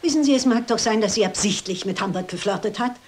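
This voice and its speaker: A woman's voice: on the high side, fast, a little mellow, natural voice. An actress playing a shrewd elderly lady detective in a German crime drama, speaking precisely and with polite insistence. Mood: shrewd, explaining. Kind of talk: German dubbed crime film acting.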